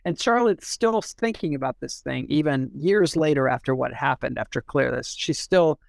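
A man in a casual literary discussion, thinking aloud in a halting way and often repeating and restarting his phrases. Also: on the high side, gravelly, dry recording. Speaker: podcast discussion co-host.

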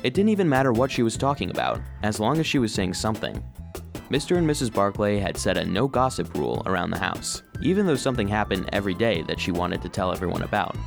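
An eager, earnest robot narrator in a children's audio drama. The voice sits low but moves in lively, expressive swoops, with light music underneath. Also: male voice, fast talker, creaky. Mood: warm, nostalgic. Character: earnest, eager male cleaning robot narrating his own story.

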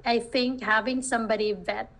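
Woman, slightly high voice, full of fillers. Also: fairly even intonation, normal speed, gravelly, a bit bright. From Philippines. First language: Filipino language. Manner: hesitant, many restarts and "you know" fillers. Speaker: virtual assistant business owner. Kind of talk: interview answers.

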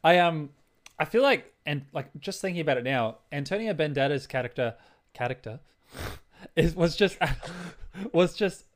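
A man co-hosting a casual film chat, easygoing and halting, often breaking into chuckles. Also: a little high, lively intonation. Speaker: podcast co-host.

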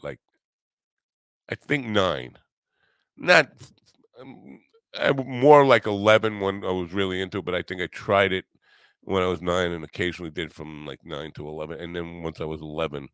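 Deep-voiced man in his sixties, rambling. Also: a bit bright, no room sound. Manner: halting, meandering, self-deprecating, profane. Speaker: podcast host. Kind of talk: casual rambling solo monologue.

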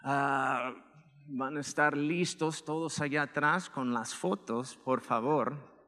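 A man with an American accent, a pastor giving a relaxed, joking sermon with personal testimony and many filler words. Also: mid-range voice, takes it slowly. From United States. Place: church hall. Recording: echoey church hall.

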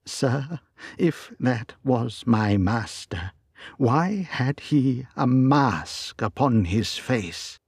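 Old male butler, loyal and frightened, pleading tone, husky, voiced by a man reading. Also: medium pitch, expressive, drawn-out words, no echo, clean studio sound, pitched above the performer's own voice, heavily acted. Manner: anxious, pleading, earnest. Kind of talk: storybook reading.

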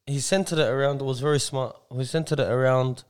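Mid-pitched man, probing questioner. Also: steady tone, fast, smooth. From Australia, Lebanese background. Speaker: podcast co-host. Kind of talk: casual discussion, posing questions.

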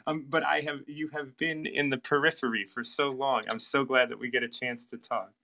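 A male podcast host with a bright, forward voice chats with his guests over a video call. He is quick to affirm, enthusiastic, and laughs easily. His tone stays conversational and engaged. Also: mid-range voice, level tone, gravelly. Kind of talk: casual interview chat.

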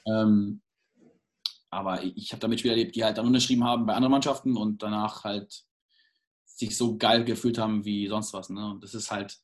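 A man's voice: fairly low pitch, fairly even intonation, a little fast. A young man from England, the guest in a casual interview, talking hesitantly with many restarts and fillers.

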